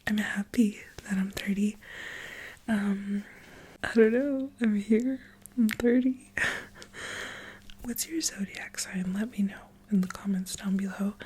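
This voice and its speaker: A woman of thirty talking softly and in a rambling way into a close microphone for an ASMR video. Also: medium pitch, husky. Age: 30s. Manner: rambling, soft-spoken, giggly. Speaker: ASMR creator. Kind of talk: soft-spoken ASMR chat.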